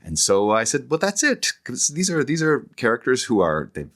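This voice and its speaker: A middle-aged man, an academic author, explaining conversationally as an interview guest, haltingly repeating words as he thinks aloud. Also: mid-range voice, wildly swooping pitch, fairly bright tone.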